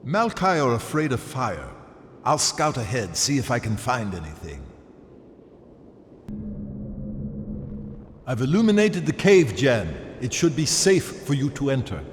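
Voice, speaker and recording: A man's voice: mid-range voice, very animated, moderate pace, in a large hall, slight background noise, close to an everyday voice. An actor playing an erudite, self-assured male guide in a video game, articulate and dryly pompous. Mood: wry, cautioning. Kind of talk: video game cutscene acting.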